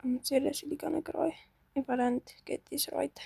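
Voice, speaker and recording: A woman's voice: high voice, steady tone, moderate pace, creaky, mellow, dry recording. A young woman chatting casually to herself while playing a game, self-doubting and talkative. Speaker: gaming channel host. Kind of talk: casual gameplay commentary.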